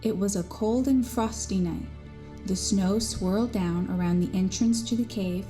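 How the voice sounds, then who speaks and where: A woman's voice: medium pitch, moderate pace, warm tone, close to an everyday voice. A woman playing a cheeky eight-year-old boy telling tall tales in an audio drama. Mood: eager, unruffled. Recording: music bed behind the voice.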